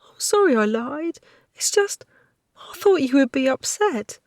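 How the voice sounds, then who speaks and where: A woman's voice: high voice, very animated, a little slow, no echo, clean studio sound, pitched above the performer's own voice, exaggerated voice. A reader voicing a frightened young servant girl, pleading and crying. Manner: pleading, trembling.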